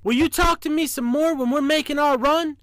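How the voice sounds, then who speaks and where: Man acting a high, emphatic storybook train engineer. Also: measured pace, dry recording, studio quality. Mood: affectionate. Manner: big, emphatic, stern voice. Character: stern but kindly male train engineer.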